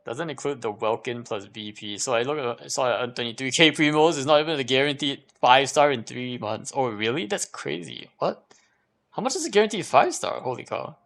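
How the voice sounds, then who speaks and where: A man's voice: mid-range voice, animated, fairly quick, creaky, fairly bright tone. A man giving casual live gameplay commentary, thinking aloud as he repeats and questions himself. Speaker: game livestreamer.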